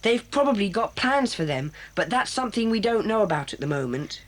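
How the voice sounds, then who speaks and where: A man's voice: high-pitched, sing-song, fairly quick, a bit bright. An actor playing an earnest boy who leads a children's club in a radio drama, laying out plans with confidence. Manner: earnest, planning, in charge.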